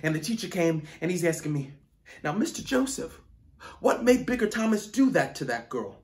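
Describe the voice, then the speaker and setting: Guy acting an angry hurt teen boy, dramatic monologue, voice a bit high, super emotional and intense. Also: very animated, normal speed. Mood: building frustration. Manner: intense, emotional, swinging from hushed to explosive.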